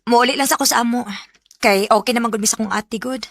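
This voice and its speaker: A woman's voice: fairly high pitch, quick pace, a bit bright, no room sound, clean studio sound. An actress playing an emotional young girlfriend in a Bisaya radio drama, with a Cebuano Filipino accent and a melodramatic delivery. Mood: subdued.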